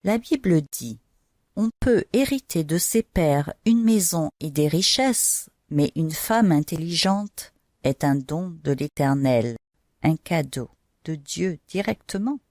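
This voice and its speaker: A woman's voice: low voice, animated, takes it slowly, darkish tone, dry recording, studio quality. A woman storyteller narrating Bible stories for children in simple, didactic language, speaking directly to the young listener.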